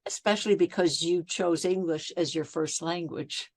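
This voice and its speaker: A woman's voice: on the low side, moderate pace, a little mellow, no room sound. A woman from the audience joining a video call, earnest and reflective, recalling a quote. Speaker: audience member. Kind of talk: casual conversation.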